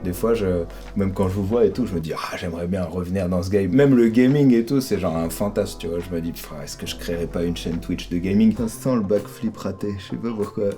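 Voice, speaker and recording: A man from Normandy in casual chat, relaxed and hesitant with many fillers, laughing often. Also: low-pitched, a little fast, hardly any pauses, faint music behind. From Normandy, France. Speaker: guest rapper.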